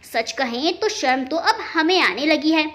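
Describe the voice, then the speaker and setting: Woman acting a sharp, bitter scolding mother-in-law. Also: sits high, lively intonation, measured pace. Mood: scornful scolding. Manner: shaming, relentless, sharp-tongued.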